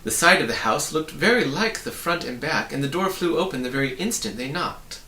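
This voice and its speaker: A man reading a children's story aloud as an animated narrator. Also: a little high, a little fast. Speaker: audiobook reader. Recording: roomy, distant mic, faint noise.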